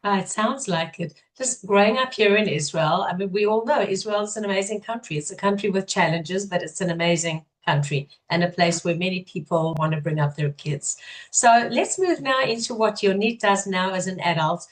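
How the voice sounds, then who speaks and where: A woman's voice: mid-range voice, normal speed, rough recording. A middle-aged woman hosting an interview podcast, asking long, winding questions in a friendly way. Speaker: podcast host.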